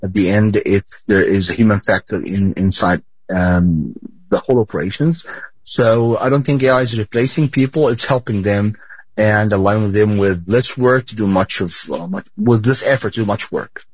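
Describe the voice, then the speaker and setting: Halting, husky low-voiced man in an interview. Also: no room sound. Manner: halting, repeats and rephrases words. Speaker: sports company board chairman, interviewee. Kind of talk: live broadcast interview. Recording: dull lo-fi audio.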